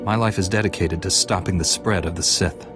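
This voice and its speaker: A man's voice: sits low, fairly even intonation, brisk, over music, natural voice. A man acting a solemn, resolute warrior monk in a video game, formal and composed.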